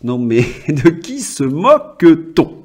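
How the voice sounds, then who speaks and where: A man's voice: a little high, big pitch swings, slow, a little mellow, husky. A man hosting a political commentary show, talking in an ironic, indignant tone with mocking asides.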